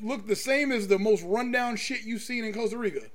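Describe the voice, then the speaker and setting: A male podcast host with a high-pitched voice. He talks in a casual, opinionated way, pushing his points emphatically and swearing freely as he goes. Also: a little fast, a crowd in the background.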